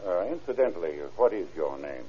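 Low-voiced blunt police deputy. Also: male voice, big pitch swings, fairly quick, warm tone, slightly rough, full character voice. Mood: stern, pressing. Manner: blunt, slangy, authoritative. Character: stern, hard-nosed police deputy. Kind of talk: old-time radio crime drama acting. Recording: noisy, muffled old radio recording.

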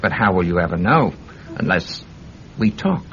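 An actor playing a suave, sinister Soviet spy in a radio drama, with a cultured English accent and a polite, insinuating manner. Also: male voice, sits low, sing-song, moderate pace, exaggerated voice. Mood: insinuating, menacing. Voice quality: cultured, well-educated voice. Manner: polite, insinuating, quietly menacing. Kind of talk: old-time radio drama acting. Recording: dull, noisy old radio recording.

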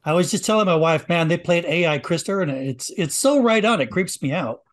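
A man's voice: on the high side, lively intonation, a little fast. A man chatting casually, friendly and teasing. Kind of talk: casual chat.

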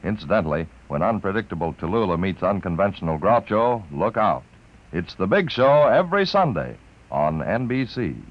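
Animated, lowish-voiced male radio announcer. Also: normal speed, lo-fi sound. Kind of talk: 1950s radio promo announcing.

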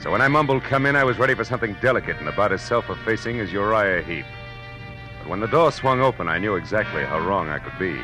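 An actor with an American accent playing a tough, wisecracking private detective in a 1940s radio drama, wry and clipped. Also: male voice, lowish voice, animated, fast, over music, natural voice. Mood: hard-boiled, wry. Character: hard-boiled, wisecracking male Los Angeles private detective. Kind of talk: 1940s radio acting, noir narration. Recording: old radio broadcast recording.

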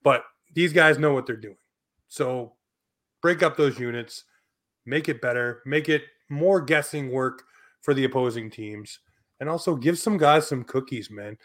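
A man with an average-pitched voice hosts casual sports talk. He gives his opinions bluntly, in punchy, colloquial phrases. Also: measured pace, fairly bright tone. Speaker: sports podcast host.

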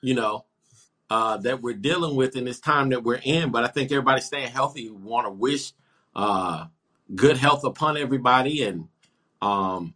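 A man co-hosting a casual talk show, his voice husky and a bit rough. He talks haltingly, with many pauses and fillers. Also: medium pitch, a bit bright. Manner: halting, hesitant. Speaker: co-host. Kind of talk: casual chat.

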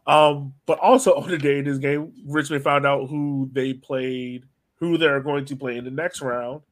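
A man with a mid-pitched voice hosts a casual sports podcast. He talks like a fan among friends, working out his thoughts aloud. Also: steady tone, moderate pace.

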